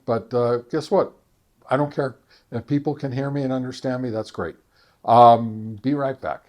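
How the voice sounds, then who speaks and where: A man giving a casual, unscripted product review as a hobbyist, speaking haltingly as he thinks aloud. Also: medium pitch, a little mellow. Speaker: YouTube radio hobbyist reviewer.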